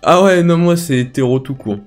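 A man's voice: on the high side, normal speed. A young man hosting a casual video chat with strangers, joking in a cheeky, slangy way. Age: young adult. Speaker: online video host. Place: small bedroom, indoors. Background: music.

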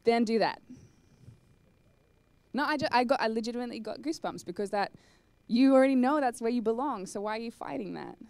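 A woman's voice: fairly high pitch, fairly quick. A young woman on stage with a handheld mic, giving a chatty conference talk in a cinema hall and answering questions. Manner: chatty, animated, lots of "like" and "um". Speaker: conference speaker. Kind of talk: live talk and Q&A.

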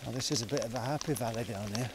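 A man's voice: medium pitch, rapid-fire. A middle-aged man chatting casually while cycling forest trails, rambling with self-talking asides, recorded outdoors on a small camera mounted on his bike frame. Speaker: cycling vlogger. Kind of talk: casual narration while riding. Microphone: small pocket camera mounted on the bike frame. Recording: noisy outdoor camera audio.

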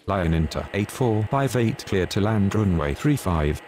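A man plays an airport radio operator in a simulator game with a very deep voice. He is businesslike and clipped, delivering procedural calls over faint background noise. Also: lively intonation, measured pace, a little mellow. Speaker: pilot and air traffic controller radio voice. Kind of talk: scripted aviation radio phraseology.

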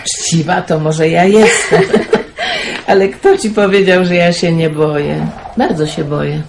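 A woman playing a kindly older aunt in a radio drama, gentle and matter-of-fact, laughing easily. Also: fairly low pitch, animated, a little slow, vocal fry. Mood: hospitable. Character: older aunt, kindly, brave despite fear. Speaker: aunt Kazia. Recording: noisy radio play audio.

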